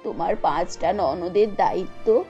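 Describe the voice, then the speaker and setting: A woman's voice: lowish voice, a little slow, warm tone, faint music behind, pitched below the performer's own voice, natural voice. Woman as frail, dying old cartoon mother-in-law. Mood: weak, resigned. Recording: dull lo-fi sound.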